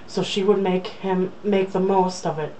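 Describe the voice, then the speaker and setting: A woman's voice: medium pitch, fairly even intonation, moderate pace, warm tone. A young woman casually reading a romance novel aloud, with an irreverent, joking manner. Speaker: reader. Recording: distant mic, faint background noise.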